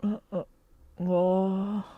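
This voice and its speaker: A woman's voice: mid-range voice, level tone, extremely slow, no echo, narrow, tinny audio. A woman voicing a shy, awkward, lovesick schoolboy with a Hong Kong Cantonese accent in an audio drama. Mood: flustered. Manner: stammering, hesitant when flustered.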